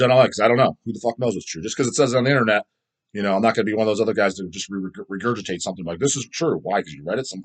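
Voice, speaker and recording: A middle-aged man hosting a casual video, rambling through his opinions in a blunt, crude, emphatic way with heavy swearing. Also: fairly low pitch. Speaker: host answering viewer comments.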